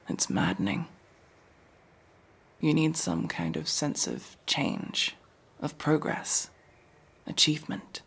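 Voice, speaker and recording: A man playing a guarded, world-weary elf mercenary, centuries old but young-looking, in an intimate, brooding ASMR fantasy roleplay with a breathy, gravelly, halting delivery. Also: on the high side, full character voice. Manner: brooding, halting, often dropping to a whisper.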